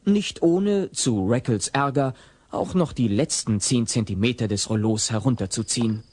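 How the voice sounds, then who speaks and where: Male narrator, scripted audio play, husky, slightly low, dry sound. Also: normal speed, darkish tone, no echo.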